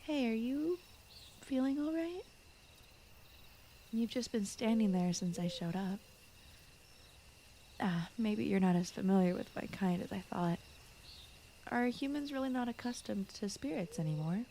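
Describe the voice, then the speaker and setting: A woman voicing a gentle, shy fox spirit who guards a forest, speaking softly and often in whispers in a soothing bedtime ASMR roleplay style. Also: a little high, a little slow, long pauses, a little hiss or hum. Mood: shy, rambling. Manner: soft-spoken, often slipping into whispers, rambling, apologetic. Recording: clear night cricket and forest ambience. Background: crickets, insects, night forest ambience.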